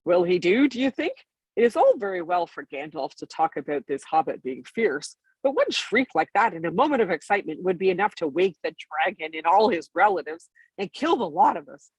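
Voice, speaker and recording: A woman's voice: lowish voice, big pitch swings, fairly quick, breathy edge, dry recording, rough recording. A woman voicing a scornful, doubting male dwarf in a storybook, grumbling dismissively.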